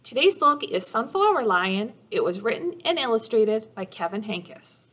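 A middle-aged woman, a children's librarian reading a picture book aloud in simple, deliberate phrases for young listeners. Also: fairly high pitch, sing-song, a bit bright, slightly rough. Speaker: children's librarian and storytime host. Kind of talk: children's storybook read-aloud. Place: small office room indoors.

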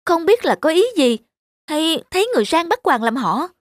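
A woman's voice: high voice, lively intonation, moderate pace. A woman voicing a snobbish, suspicious wealthy wife in a cartoon. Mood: scornful. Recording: background music throughout.

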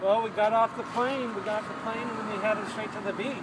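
A man's voice: high voice, fairly even intonation, quick pace. A man chatting casually over ham radio outdoors. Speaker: amateur radio operator. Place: outdoors in a beachside parking lot. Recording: distant, very noisy outdoor recording.

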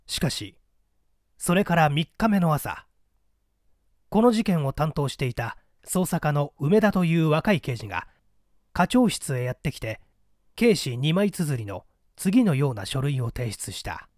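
A man's voice: fairly high pitch, big pitch swings, unhurried, a little mellow, dry recording, studio quality. A man reading a mystery story aloud as a dramatic scripted narration. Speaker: audiobook narrator.

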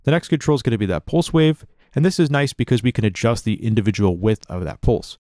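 Guy explaining music software, talks real fast and casual, super dry close-mic sound. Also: mid-range voice, no echo. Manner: conversational, explanatory. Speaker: music production tutorial instructor. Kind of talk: casual software tutorial narration.

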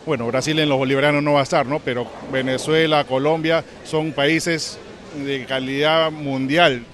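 Male veteran athlete, middle-aged, Peruvian accent, slightly high pitch, casual interview with faint noise. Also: steady tone, normal speed, fairly bright tone. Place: large indoor bowling alley. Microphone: handheld interview microphone. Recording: faint bowling alley noise.

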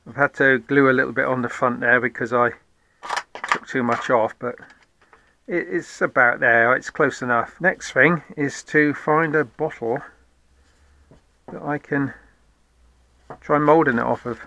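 A man in his fifties talks through a hobby job in an informal, low-key way, as if thinking aloud. His voice sits at an average male pitch. The home-made recording has slight background noise and small clicks. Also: steady tone, a little slow, vocal fry, long pauses, sharp tone. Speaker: hobbyist presenter. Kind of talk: casual hands-on how-to vlog. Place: at home, indoors and in a workshop.

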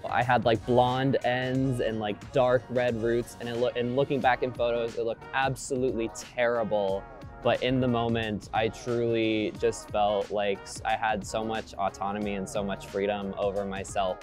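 A man's voice: medium pitch, little pitch movement, normal speed, faint music behind. A young man art student giving earnest interview answers.

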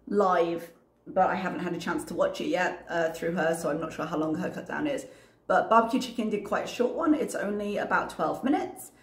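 Chatty, rambling woman with a low voice. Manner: chatty, rambling, self-mocking with frequent laughs. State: slight cough, tired. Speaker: reaction channel host. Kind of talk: casual chat to camera. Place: small room, indoors. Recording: roomy echo.

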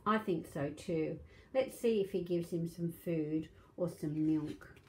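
A woman's voice: on the low side, slow, a little mellow, slight background noise. A middle-aged woman reading aloud to young children as a storytime host, in a simple, questioning, child-directed manner. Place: small domestic room indoors.

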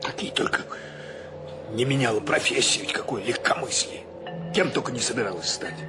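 An actor playing a fussy, excitable ship's captain and exasperated uncle in a radio play, scolding and stammering when agitated. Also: male voice, mid-range voice, normal speed, over music, natural voice. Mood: ashamed, reproachful. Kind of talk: Russian radio play acting.

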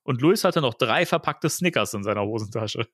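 A man's voice: mid-range voice, lively intonation, moderate pace, fairly bright tone, no echo, studio quality. A man hosting a podcast, reading a novel aloud and breaking off with giggly asides. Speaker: podcast host.

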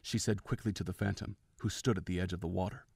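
A man's voice: low-pitched, steady tone, quick pace, creaky, a little mellow, husky, no room sound, studio quality. A man reading scripted story narration as the narrator of an audio drama.

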